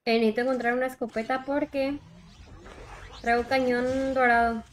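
A woman's voice: high voice, little pitch movement, normal speed. A young woman with a Mexican Spanish accent chats casually and slangily as she streams a game, often trailing off mid-thought. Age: young adult. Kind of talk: casual livestream chat while gaming. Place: indoor room, alone. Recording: game vehicle and helicopter noise behind. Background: mobile shooter game sounds, helicopter.

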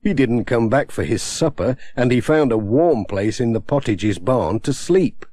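A man narrating a children's storybook in a gentle, expressive way on a close studio mic. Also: mid-range voice, a little slow, dry recording. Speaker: audiobook narrator.

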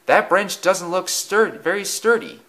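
Man voicing an anxious village boy, high pitch. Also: unhurried, sharp tone. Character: young village boys, anxious and cheeky.

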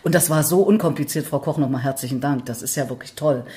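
A woman's voice: extremely low pitch, quick pace, mellow. A middle-aged woman from Thuringia hosting a podcast, asking questions in a friendly, inquisitive, affirming way. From Thuringia, Germany. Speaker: podcast host, interviewer. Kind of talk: conversational podcast interview.

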